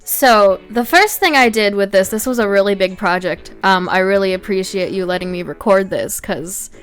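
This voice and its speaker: A woman's voice: medium pitch, moderate pace, clean tone. A woman giving casual, informal artist commentary in a conversational way. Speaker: artist narrating own speedpaint. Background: background music.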